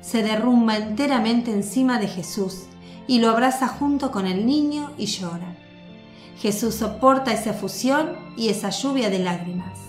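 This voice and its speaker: A woman giving measured, scripted narration for a radio drama. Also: a little high. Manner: measured, descriptive. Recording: echoey with music bed.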